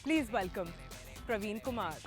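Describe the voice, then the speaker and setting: A woman's voice: mid-range voice, lively intonation, over music. Polished woman interview host. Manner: polished, measured, formal phrasing. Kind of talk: scripted show intro and interview questions.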